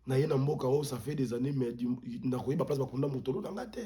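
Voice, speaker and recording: A man's voice: medium pitch, fast talker, mellow. A Congolese man, a pastor, giving impassioned political commentary as an interview guest, emphatic and indignant, repeating words for stress and switching languages mid-sentence. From Democratic Republic of the Congo.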